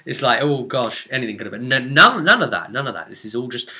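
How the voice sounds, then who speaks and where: Bright, forceful man telling game stories. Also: mid-range voice, big pitch swings, fast. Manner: rambling, descriptive, dramatic emphasis on key words. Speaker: game master recounting a tabletop session to viewers. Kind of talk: informal storytelling and explanation of a tabletop role-playing session.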